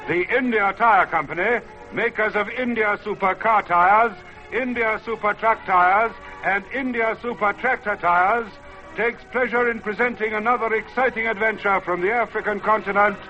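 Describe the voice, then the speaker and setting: A man's voice: sits high, normal speed, highs cut off. A man announcing and narrating in theatrical, declamatory old-time radio style. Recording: vintage radio broadcast with music behind. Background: dramatic music.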